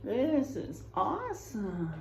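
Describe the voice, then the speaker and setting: A woman's voice: on the high side, huge pitch swings, takes it slowly, creaky, a little hiss or hum. A woman teacher reading a picture book aloud to children, expressively, stretching out rhymes and adding chatty, exclaiming asides.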